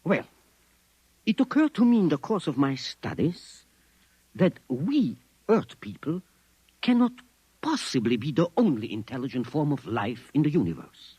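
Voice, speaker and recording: Precise, formal, swooping eccentric gentleman, 1950s radio. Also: a little high, very animated, measured pace, husky, no echo, exaggerated voice, rough recording. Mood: earnest lecturing. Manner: formal, pedantic, emphatic phrasing. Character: earnest, precise, formal man convinced Martians live among us. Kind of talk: 1950s radio drama acting.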